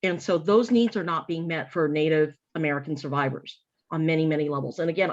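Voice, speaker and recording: An earnest woman talks through ideas in a panel discussion, pausing and restarting as she works toward her point. Her voice sits a little low for a woman. The audio is muffled and lo-fi. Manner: earnest, thoughtful, with frequent restarts.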